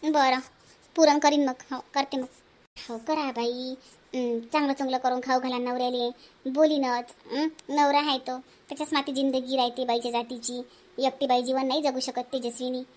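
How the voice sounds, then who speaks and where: A woman's voice: sits high, flat delivery, moderate pace, darkish tone, close to an everyday voice. A woman voicing village women in a family cartoon, bickering in-laws, speaking Marathi with a Varhadi accent. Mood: chatty.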